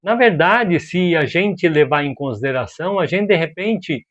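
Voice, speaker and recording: A man from Brazil giving casual opinion commentary as a video host, animated and exasperated, full of rhetorical questions and slang. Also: on the high side, normal speed, dull audio.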